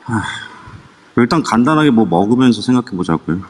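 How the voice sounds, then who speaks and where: A man's voice: mid-range voice, animated, brisk, in a large hall, close to an everyday voice. An actor playing a timid, reluctant younger man in an audio drama, talking hesitantly and drawing out his words. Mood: fearful. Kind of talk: amateur audio drama acting.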